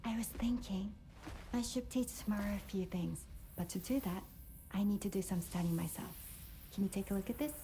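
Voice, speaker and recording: A woman's voice: medium pitch, brisk, warm tone, a little hiss or hum, natural voice. An actress playing a friendly, caring female companion in a naturalistic video game scene. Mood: friendly, earnest. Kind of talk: naturalistic game cutscene acting. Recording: music behind.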